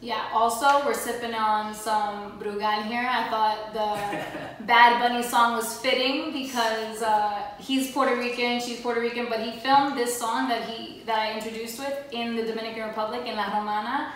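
A woman's voice: a little high, fairly even intonation, normal speed, fairly bright tone, slight background noise. A young woman hosting a casual podcast chat on a living room couch, talking loosely with lots of fillers. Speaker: podcast host. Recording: echoey room, far from mic.

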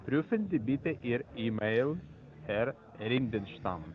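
A call center agent on the phone, speaking haltingly with many fillers and restarts. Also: male voice, medium pitch, expressive. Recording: tinny phone line.

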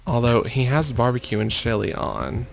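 A man commentating over gameplay in a mostly monotone voice, muttering urgings at the screen. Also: medium pitch, moderate pace, gravelly, slight background noise. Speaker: gaming video creator.

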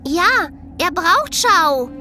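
Timid trusting little girl, really high and bright, plaintive, radio play. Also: big pitch swings, very slow, faint music behind, exaggerated voice. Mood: solemn. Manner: childlike, plaintive. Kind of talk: dramatic audio drama dialogue.

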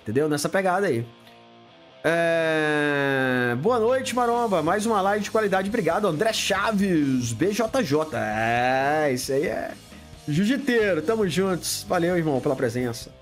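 Blunt, animated Brazilian man streaming. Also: a little slow, faint music behind. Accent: Brazilian Portuguese. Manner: blunt, greets viewers by name, lively swings in pitch. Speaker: livestream host answering viewer questions. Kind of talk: casual live chat. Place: home studio room lit with colored LEDs.

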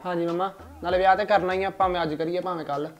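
A man's voice: high voice, steady tone, very fast, lightly acted. A man playing an agreeable, chatty village son talking with his mother. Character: agreeable village son sent to find a match. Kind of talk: village comedy sketch acting.

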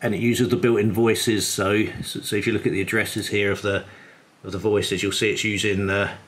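Low-pitched man giving a casual demo. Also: little pitch movement, moderate pace. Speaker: app developer demonstrating his program. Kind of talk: casual screen demo narration.

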